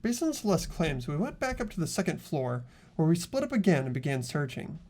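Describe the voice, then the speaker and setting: A man's voice: fairly high pitch, big pitch swings, a little fast, a little mellow. A man playing an earnest teenage boy investigator in dramatic video game dialogue. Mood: earnest, recounting. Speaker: voice actor in a fan playthrough.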